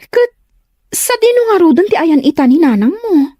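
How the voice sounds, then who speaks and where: A woman's voice: very high voice, very animated, normal speed, dark tone, no room sound. A woman voicing an inquisitive, urging young girl in an audio comedy drama. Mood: anxious urging.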